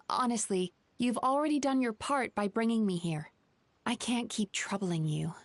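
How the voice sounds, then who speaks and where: A woman voicing a gentle, dutiful young therapist in a video game, speaking politely and in a measured, earnest way. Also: fairly high pitch, background noise. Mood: earnest concern. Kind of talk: animated video game voice acting.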